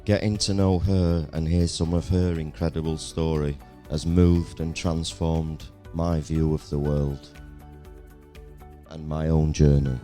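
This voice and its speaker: Very deep, dark-voiced man in his fifties. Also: flat delivery, measured pace, soft background music. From England. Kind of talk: interview answer. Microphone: handheld microphone.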